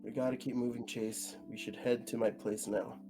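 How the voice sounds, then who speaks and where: A man's voice: mid-range voice, little pitch movement, normal speed, close to an everyday voice. Man playing a protective wolf, music behind. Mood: urgent. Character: tense, protective male anthropomorphic wolf, anxious boyfriend. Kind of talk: reading visual novel dialogue aloud. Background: guitar music.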